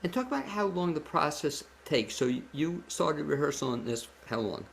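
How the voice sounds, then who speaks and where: A man's voice: fairly high pitch, expressive, normal speed. A man hosting a casual, chatty interview, asking rambling, loosely phrased questions. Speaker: interview host. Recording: clearly noisy background.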